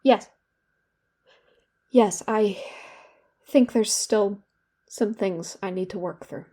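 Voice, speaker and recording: A woman's voice: mid-range voice, slow, dark tone, slightly rough. A young woman playing a guilt-ridden, bitter therapy patient in a confessional, self-loathing audio drama monologue. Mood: subdued. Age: young adult.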